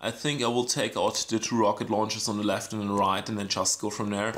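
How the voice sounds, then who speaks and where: A man with a scratchy voice giving casual commentary on a strategy game, thinking aloud with many pauses and ums. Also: fairly low pitch, little pitch movement, fairly bright tone. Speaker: gaming channel host.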